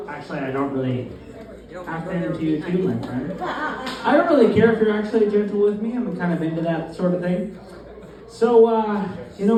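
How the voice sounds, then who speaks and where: First-timer comic, young man, slightly high voice, deadpan and halting, roomy distant club sound with a crowd. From Newfoundland, Canada. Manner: deadpan, halting, with many fillers. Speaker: stand-up comedian, first set. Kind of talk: open-mic stand-up comedy. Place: small comedy club, in front of a live crowd. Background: audience laughter.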